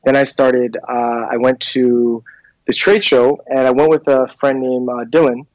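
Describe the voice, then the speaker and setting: A man's voice: medium pitch, level tone, unhurried, a little mellow, dry recording, dull audio. A man in his thirties from Canada, a clothing brand founder, telling his story in a casual interview with many fillers and restarts. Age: 30s.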